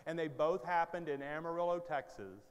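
A pastor in his fifties preaches with a headset mic in a big sanctuary, his fairly high voice trailing a long reverb. He talks like a friend telling stories, then presses hard with urgent exhortation while pacing the stage. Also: fairly quick, clear, resonant tone, dull audio. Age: 50s. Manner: conversational, rising to urgent exhortation; paces the stage gesturing. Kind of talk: sermon. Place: large church stage with hall reverb. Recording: hall reverb from large sanctuary.

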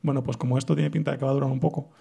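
A Spanish man in his thirties talks quickly and easily into a handheld mic on a small bar stage. His voice is gravelly and husky. He explains things at length. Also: mid-range voice, little pitch movement, warm tone. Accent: Spanish from Spain. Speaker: podcast guest, writer. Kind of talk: casual chat.

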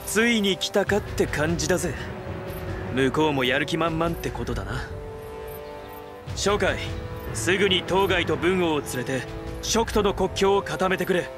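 Guy doing young game hero lord, higher voice, casual but determined, music behind. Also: expressive, moderate pace, lightly acted. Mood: easygoing, decisive. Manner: informal, laid-back yet earnest. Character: casual, reluctant but resolute young male warlord. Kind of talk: dramatic Japanese video game cutscene acting. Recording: studio voice over loud game music.

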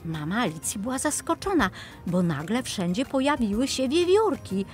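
Expressive, animated woman storyteller. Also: fairly high pitch, normal speed. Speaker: narrator. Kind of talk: expressive scripted fairy-tale narration for children. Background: music.

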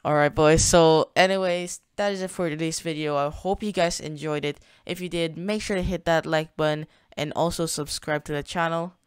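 A man's voice: a little high, fairly even intonation, normal speed, few pauses, clear, resonant tone, dry recording, clean studio sound. A young man hosting a gaming channel, casually narrating his play in a chatty, slangy way, thinking aloud as he goes. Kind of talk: casual gameplay commentary.

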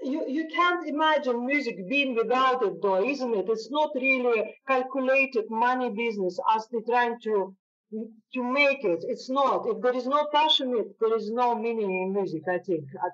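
A young woman with a fairly high voice hosts an interview over a video call, pressing her guest with eager, leading questions. The audio is dull and lo-fi, typical of a laptop mic in a home music room. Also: moderate pace. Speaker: interview host. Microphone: laptop webcam on video call.

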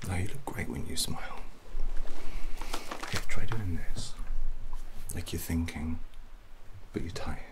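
A man speaking softly and often whispering in a halting, deadpan way, as a gentle, odd street photographer in an ASMR roleplay. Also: deep voice. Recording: close recording with clear background noise.

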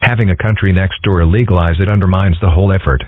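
Casting: exasperated cartoon official, formal and stern, low husky voice, tinny phone sound. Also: male voice, steady tone, fast, no room sound. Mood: weary officiousness. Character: stern, officious attorney general on the telephone. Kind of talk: comic strip dub. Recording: muffled narrow phone-like sound.

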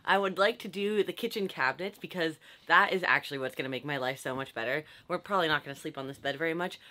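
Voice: mid-range voice, fast talker, bright, forceful tone. A vlog co-host talking casually and playfully about fixing up their sailboat. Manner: upbeat, playful, laughs easily. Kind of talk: casual chat and scripted intro. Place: on a sailboat under refit. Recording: guitar music underneath.

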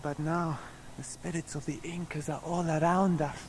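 An actor plays a devoted young guide boy from South America in a radio drama. He speaks simply and respectfully with an anxious edge, at a middling pitch, over noisy effects. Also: flat delivery, normal speed, a little mellow, close to an everyday voice. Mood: solemn, respectful. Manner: deferential, simple foreign-sounding phrasing. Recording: noisy sound effects behind.

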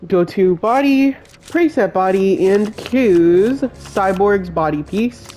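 A man with a head cold talks through a game character build, his voice congested and a touch high for a man. He gives instructions slowly and plainly, one step at a time, with a soft, mellow tone. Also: lively intonation. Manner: slow, plain instruction-giving voice-over. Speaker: game tutorial host.

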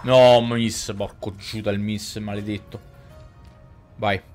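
A man's voice: fairly low pitch, level tone, slow pace, darkish tone. A man in his thirties with a Roman Italian accent, giving casual, colloquial live gameplay commentary into a headset. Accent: central Italian, Roman. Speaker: video game streamer. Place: indoor room, gaming setup. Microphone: headset microphone. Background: game music.

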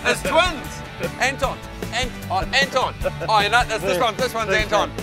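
A man hosting a fishing show outdoors, joking and laughing in a cheeky, excitable way. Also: very high-pitched, moderate pace. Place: outdoors in bush and hills. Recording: outdoor clip with loud music over it.

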